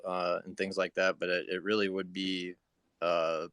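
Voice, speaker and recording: Deep, bright-edged man, very dry sound. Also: level tone, normal speed, clean tone, no room sound. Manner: tentative, hedging. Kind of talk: casual audio chat. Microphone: online voice call.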